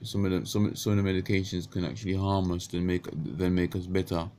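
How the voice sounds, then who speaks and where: A man in his thirties with a croaky morning voice, talking unscripted into a webcam in a small bedroom, halting with many restarts and stumbles. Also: low voice, little pitch movement, mellow, a little hiss or hum. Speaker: vlogger. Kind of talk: unscripted casual monologue. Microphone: webcam at close range.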